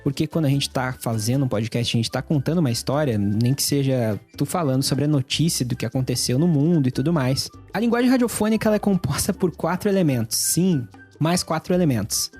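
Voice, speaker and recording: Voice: medium pitch, lively intonation, fairly quick, darkish tone, soft background music. A host with a Brazilian accent, explaining things in an informal, conversational tutorial style. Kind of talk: casual explainer to camera.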